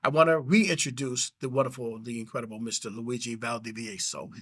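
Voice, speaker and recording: A man's voice: mid-range voice, steady tone, fairly quick, a bit bright. A man hosting a school interview, effusive and full of praise and affirmations. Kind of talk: interview host.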